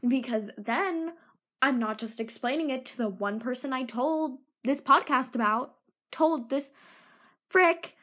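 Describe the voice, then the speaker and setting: A woman's voice: high voice, unhurried, sharp tone. Rambling teen girl with a sore throat. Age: teens. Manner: self-mocking, scattered, drifts into whispered asides. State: sore throat, losing her voice. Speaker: podcast host. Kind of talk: rambling solo podcast chat.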